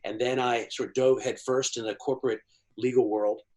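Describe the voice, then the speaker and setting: A man's voice: medium pitch, little pitch movement, moderate pace, darkish tone. A middle-aged man from Washington, D.C., giving long, fluent, emphatic answers as an interview guest. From Washington, D.C., USA. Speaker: guest, China economy analyst.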